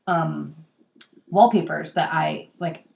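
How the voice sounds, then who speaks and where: Laid-back daughter co-host: young woman, low, gravelly voice, a bit stuffy. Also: slow, dull audio. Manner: relaxed, easygoing, fillers like "like" and "yeah". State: congested, under the weather. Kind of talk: casual chat. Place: same room as co-host, home recording.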